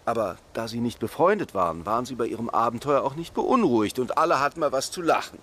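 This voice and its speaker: A man playing a brooding, intuitive police detective in a radio crime play, explaining deliberately and insistently. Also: mid-range voice, lively intonation, fairly bright tone. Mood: explanatory, brooding. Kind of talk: naturalistic radio drama dialogue.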